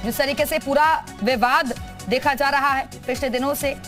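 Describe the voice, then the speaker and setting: A woman anchors live TV news in a high voice. She is emphatic and restates headlines, with faint music behind her. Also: steady tone, normal speed, fairly bright tone.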